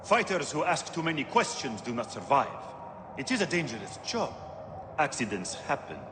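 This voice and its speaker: An actor playing a scornful, showy gladiator arena master in a dramatic video game, with haughty, theatrical delivery. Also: male voice, on the high side, big pitch swings, normal speed, background noise. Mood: cold warning. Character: gruff, scornful arena master and showman announcer.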